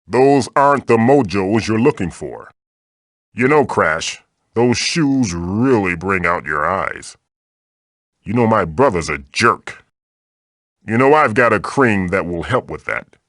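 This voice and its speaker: A man's voice: on the low side, animated, takes it slowly, fairly bright tone, no room sound, clean studio sound. A man voicing an old magical mask, a bossy, wisecracking mentor guiding the player in a video game with theatrical, wry delivery. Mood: wry, bored.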